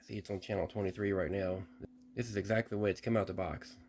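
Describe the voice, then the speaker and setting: Low-voiced man calmly testing old radio gear. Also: quick pace, gravelly, a little hiss or hum. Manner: plain-spoken, thinking aloud with restarts. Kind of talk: casual unboxing walkthrough.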